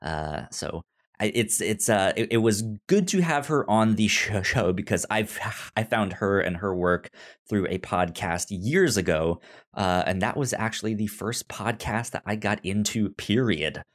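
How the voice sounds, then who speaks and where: A man's voice: fairly low pitch, animated, measured pace. A man hosting a podcast in casual conversation, often stammering and repeating syllables and words. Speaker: podcast host.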